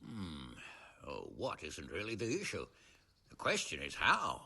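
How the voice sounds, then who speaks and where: An actor playing a gruff, commanding medieval lord in a game cutscene, with a rough gravelly voice and blunt delivery. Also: male voice, sits low, wildly swooping pitch, unhurried, bright, forceful tone, heavily acted. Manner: blunt, emphatic. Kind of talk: video game cutscene acting.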